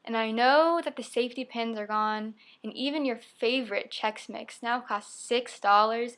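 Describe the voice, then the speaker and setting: Bright-voiced young woman reciting poetry. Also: a little high. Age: young adult. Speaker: poet. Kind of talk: spoken-word poetry reading.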